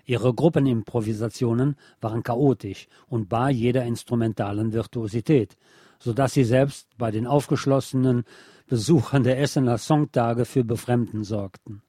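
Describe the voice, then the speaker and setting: A man narrates a radio feature with a soft, dark tone and medium pitch. His delivery is measured and factual, reading polished script in a very dry studio sound. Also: husky, dry recording.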